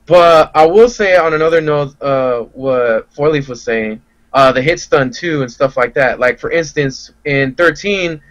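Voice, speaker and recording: A man's voice: medium pitch, a bit bright, poor audio. A man giving his impressions in a casual discussion as a guest on a gaming podcast.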